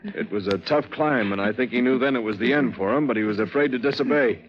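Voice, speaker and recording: A man's voice: medium pitch, fast, lightly acted. An actor playing a haunted, determined seaman telling his tale in a 1940s radio drama, earnest and dramatic. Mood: grave recollection. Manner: dramatic, earnest, vivid storytelling. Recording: dull 1940s radio recording.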